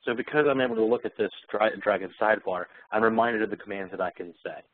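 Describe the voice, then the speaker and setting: A man with a fairly low voice leads a live software demo. He explains features and issues voice commands. The sound is dry, with very little room around it. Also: fast, no echo, phone-quality sound. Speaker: software demonstrator.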